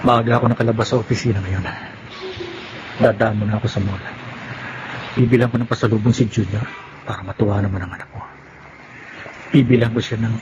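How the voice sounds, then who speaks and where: A man's voice: lowish voice, steady tone, brisk, pauses often, dull audio, very noisy, close to an everyday voice. A man playing a betrayed, hardworking husband in a melodrama, with a Filipino accent and an emphatic, accusing delivery. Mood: pleased, musing. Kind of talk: melodramatic radio-style drama acting. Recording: dramatic music behind the voice.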